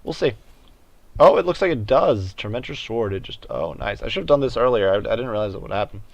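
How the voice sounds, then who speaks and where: A man giving casual commentary over a strategy game, thinking out loud in a hesitant, halting way. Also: fairly low pitch, expressive, dry recording, dull audio. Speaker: gaming video host.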